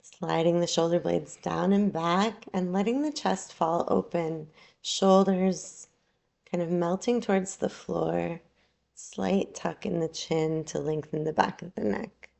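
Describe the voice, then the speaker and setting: Female meditation guide: low, creaky-edged voice, gentle and unhurried yoga nidra instruction, long pauses. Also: steady tone.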